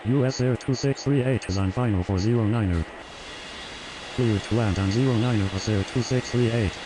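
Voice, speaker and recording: A man's voice: on the low side, brisk, noisy background, natural voice. A man playing a businesslike airline pilot giving formal, procedural radio calls in a game.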